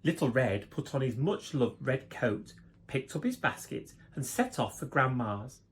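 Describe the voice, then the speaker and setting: A man's voice: medium pitch, big pitch swings, unhurried. A man who works as a primary school teacher, reading a children's story expressively and addressing young listeners directly.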